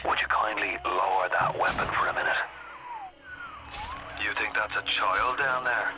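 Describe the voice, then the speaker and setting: A man's voice: fairly low pitch, moderate pace, background noise. An actor playing a desperate, guarded man who guides a stranger by radio in a video game, urgent and confiding. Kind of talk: dramatic video game voice acting.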